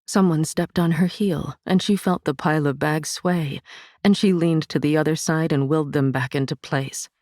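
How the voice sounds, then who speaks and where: A woman narrating a literary novel in a measured, vivid storytelling manner on a studio mic. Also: low-pitched, fairly even intonation, darkish tone, dry recording. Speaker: audiobook narrator.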